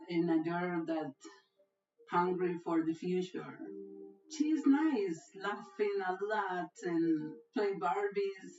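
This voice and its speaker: A woman's voice: on the low side, warm tone. A middle-aged woman from Rica, speaking simple, broken English in an interview. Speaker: interviewee, mother. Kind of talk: documentary interview. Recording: roomy, distant, dull audio with music.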